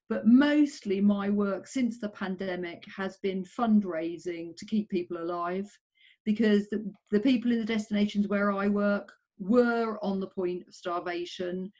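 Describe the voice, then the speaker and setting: A woman's voice: medium pitch, a little mellow. A middle-aged woman answering interview questions as a tourism researcher, talking earnestly with frequent ums and self-corrections.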